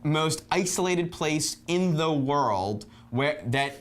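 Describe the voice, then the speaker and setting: A man hosting a film review show in casual conversation, often halting as he searches for his words. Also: on the high side, expressive.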